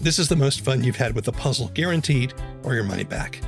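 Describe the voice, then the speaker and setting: A man's voice: medium pitch, lively intonation, fast, creaky. A man hosting a podcast, reading scripted narration and promos in a presentational style. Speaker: podcast host. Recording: faint music behind voice.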